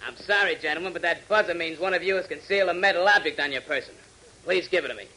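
A male security guard in a forties radio serial. His voice is sharp and a little high, with a formal, polite, officious delivery. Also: fast talker, slight background noise, poor audio. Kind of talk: 1940s radio adventure acting.

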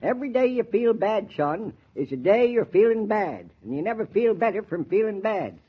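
A man's voice: sits high, very animated, moderate pace, slightly rough, exaggerated voice. An actor playing a cantankerous, morbid man in his eighties with a rural American accent, folksy and grumbling in 1940s radio drama style. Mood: morose, stubborn. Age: 80s. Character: cantankerous, morbid old rustic man of eighty-six, stubborn neighbor. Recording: dull, lo-fi 1940s radio recording.

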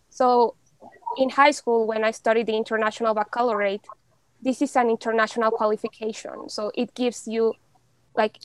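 A woman's voice: on the high side, no echo, poor audio. Chatty young woman from Mexico. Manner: chatty, many fillers, animated and laughing. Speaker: podcast guest. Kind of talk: casual conversation.

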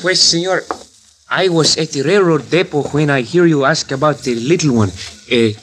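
An actor doing a hushed Spanish-accented witness. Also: male voice, a little high, moderate pace, close to an everyday voice. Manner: broken English, hushed storytelling. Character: Mexican man, a wary nighttime witness. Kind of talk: 1950s radio drama acting. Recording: old radio broadcast with faint hiss.

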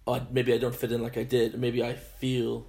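A man interviewing a guest, speaking gently and reflectively, affirming what she says. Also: mid-range voice, completely flat delivery, moderate pace, creaky. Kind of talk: conversational interview.

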